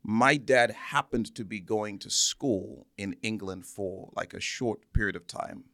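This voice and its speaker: A man's voice: lowish voice, measured pace, fairly bright tone, dry recording, clean studio sound. A man from Zambia telling personal stories as an interview guest, speaking earnestly and repeating words for emphasis. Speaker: interview guest, church minister. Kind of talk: conversational interview, personal storytelling.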